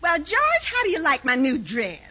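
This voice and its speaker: An actress playing an exasperated, demanding wife, melodramatic and insistent, in a 1950s radio comedy. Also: female voice, high voice, huge pitch swings, moderate pace, sharp tone, heavily acted. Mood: coaxing. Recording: muffled old radio recording.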